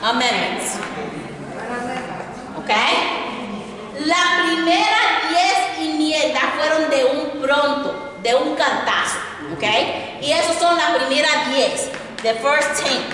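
A woman's voice: high voice, background noise. A middle-aged woman teaching a citizenship class in a classroom with a handheld mic, switching between Spanish and English and checking in with her students often. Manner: animated, repeats and checks with students. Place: classroom in front of a chalkboard. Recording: echoey room from far off, crowd around.

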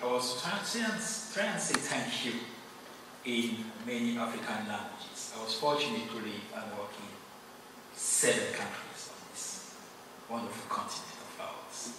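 Cameroonian man speaking in an echoey hall. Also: medium pitch. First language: Yemba. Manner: earnest, grateful, measured with pauses. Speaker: award recipient giving acceptance speech. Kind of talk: formal acceptance speech. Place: large hall. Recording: echoey hall, distant mic, noisy.

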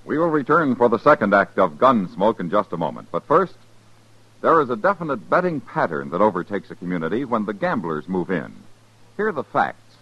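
Animated, husky male radio announcer. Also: on the low side, brisk. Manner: dramatic, emphatic delivery. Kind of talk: 1950s radio announcing, scripted promo. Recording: dull 1950s radio recording.

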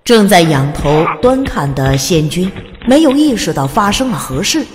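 A woman's voice: on the low side, big pitch swings, moderate pace, soft background music. A woman reading a fantasy audiobook as narrator, in a dramatic scripted storytelling style, with reverb on her voice.

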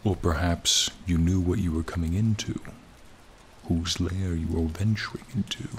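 A man voicing a charming, courtly male frost dragon in an ASMR roleplay, breathy and deliberate, often sinking to a whisper. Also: low-pitched. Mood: courteous, curious. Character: ancient-sounding male frost dragon, charming, courtly, menacing turned friendly. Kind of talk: ASMR roleplay, dramatic monologue. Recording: steady rain-like ambient noise.

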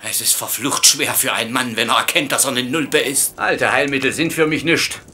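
An actor playing a pompous, exasperated, blustering middle-aged German camp commandant in a slangy comic sitcom dub, with a whining, theatrical manner. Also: male voice, mid-range voice, normal speed, sharp tone, slight background noise.

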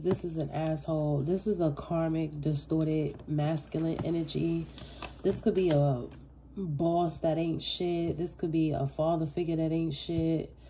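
A woman's voice: deep voice, dark tone, a little hiss or hum. A woman with a stuffy, congested voice giving a casual tarot reading in loose, slangy, blunt talk that turns profane. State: stuffy from allergies and a cold. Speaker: tarot reader.

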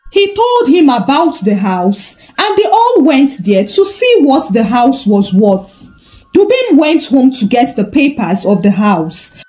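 A woman narrates a scripted story in a high voice. Her intonation is very lively, moving widely up and down as she tells it. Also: rough recording. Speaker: storyteller and channel host.

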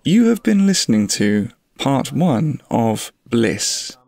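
A man's voice: medium pitch, big pitch swings, takes it slowly, vocal fry, a little mellow, no echo. A man reading scripted credits as an announcer.

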